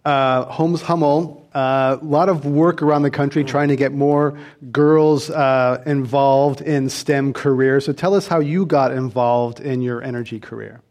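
A man hosting a panel, introducing guests and putting questions to them in a brisk, upbeat way. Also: medium pitch, steady tone, darkish tone. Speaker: host and moderator. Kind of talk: panel moderating.

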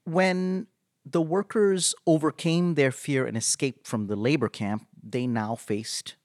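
A man recounting a story as an interview guest, speaking in a measured, halting way with pauses. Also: fairly high pitch, fairly even intonation, a little mellow, no room sound, studio quality. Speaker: interview guest, labor organizer.

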